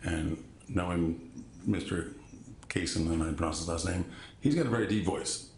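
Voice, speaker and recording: A man with a deep voice testifying as a witness in court, halting and polite, often restarting sentences. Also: creaky, a little mellow, roomy sound, a little hiss or hum. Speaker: trial witness.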